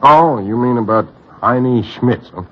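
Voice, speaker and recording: An actor playing a lovestruck, weary New crook who wants to go straight, in a 1940s radio drama with a husky voice. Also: male voice, mid-range voice, a little slow, close to an everyday voice. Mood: easygoing, rueful. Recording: dull vintage radio recording.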